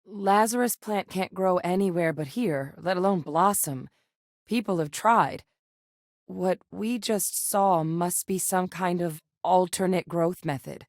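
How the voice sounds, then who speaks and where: An actress voicing a tough, composed female military scientist in a video game, speaking in a brisk, dry manner. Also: on the low side, no echo, natural voice. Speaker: game character voice lines.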